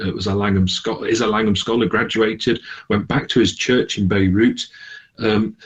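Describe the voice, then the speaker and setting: A man speaking as a radio interview guest, explaining things in a halting, conversational way. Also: lowish voice, slightly rough. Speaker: interview guest, charity manager.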